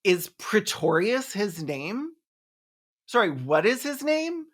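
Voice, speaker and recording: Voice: mid-range voice, very animated, slow, fairly bright tone. Sarcastic, campy video commentator. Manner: incredulous, mocking, campy slangy delivery. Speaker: video host, film reviewer. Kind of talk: sarcastic comedic movie commentary.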